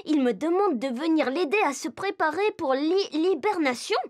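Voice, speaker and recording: A woman's voice: sits high, expressive, normal speed. A woman voicing a cheerful, curious young girl explorer in a children's cartoon, bubbly and talking straight to young viewers. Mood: excited.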